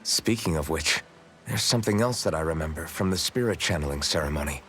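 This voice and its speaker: An actor playing a troubled, earnest young warrior hero in a video game dub, speaking tersely and broodingly. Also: male voice, rumbling low, animated, fairly quick, a bit bright, slight background noise. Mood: subdued, earnest. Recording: game music playing behind. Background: background music.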